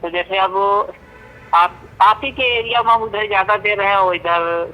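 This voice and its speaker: A man's voice: high voice, fairly even intonation, normal speed. A man from North India chats casually over a telephone call. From North India, Allahabad area. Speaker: phone call participant. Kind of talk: casual chat. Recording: tinny, sharp telephone call.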